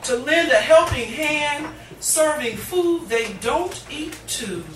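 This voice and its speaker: A middle-aged woman reading poetry live, with deliberate, dramatic phrasing and pauses. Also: high-pitched, lively intonation, fairly bright tone, far from the mic. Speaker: poet, featured reader. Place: indoor cafe reading. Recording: noisy, echoey room recorded from afar.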